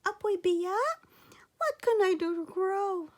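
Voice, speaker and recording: A woman's voice: very high-pitched, slow, voice pushed up. A woman voicing a tiny, earnest seven-year-old boy in a storybook, pleading and eager. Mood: wistful, pleading.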